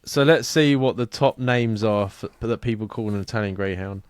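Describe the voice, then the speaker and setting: A man's voice: on the low side, normal speed, smooth, no room sound, studio quality. A young man co-hosting a podcast, giggly and self-deprecating, swearing casually. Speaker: podcast co-host. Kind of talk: casual banter.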